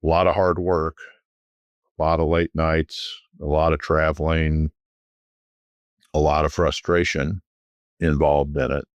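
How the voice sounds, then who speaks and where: A middle-aged man, a guest recalling his years selling private jets, talking in a relaxed, rambling way with frequent restarts. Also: extremely low pitch, unhurried, long pauses, no room sound. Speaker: guest, former private jet sales executive. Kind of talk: conversational storytelling.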